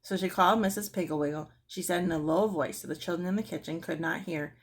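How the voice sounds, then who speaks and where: A woman reading a children's book aloud as an expressive storyteller. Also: fairly low pitch, quick pace, husky. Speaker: storybook reader.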